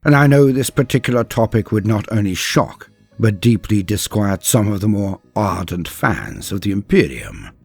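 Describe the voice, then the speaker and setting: Male host-narrator, animated range, slightly rough voice, grand formal wording, faint music underneath. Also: lowish voice, moderate pace. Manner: formal, theatrical phrasing. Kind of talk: scripted narration.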